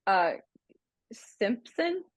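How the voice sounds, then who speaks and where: A woman's voice: on the high side, big pitch swings, extremely slow, no echo, exaggerated voice. An actress playing a mild, obliging young lady in a radio play, speaking in a tentative, careful way.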